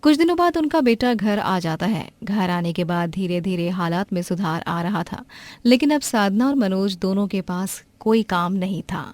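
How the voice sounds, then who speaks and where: A woman narrating an animated moral story in a plain, even manner. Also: mid-range voice, moderate pace, no room sound.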